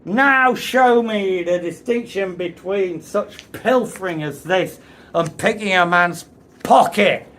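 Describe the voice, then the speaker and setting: A woman voicing a bearded Victorian male author who rants in furious, booming oratory from a podium. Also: takes it slowly, a bit bright.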